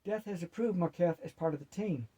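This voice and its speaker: A man's voice: sits high, a little fast, warm tone, slightly rough, pitched above the performer's own voice, low-quality recording. A man voicing an earnest, unsure and affectionate grandson with a questioning, sincere delivery. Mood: reporting.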